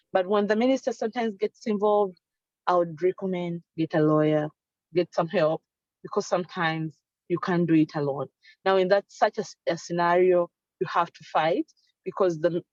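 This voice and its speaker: Woman panelist, low, earnest and explanatory, dull dry sound. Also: darkish tone, no echo. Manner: earnest and explanatory, acts out example statements. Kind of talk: advisory talk in an online panel.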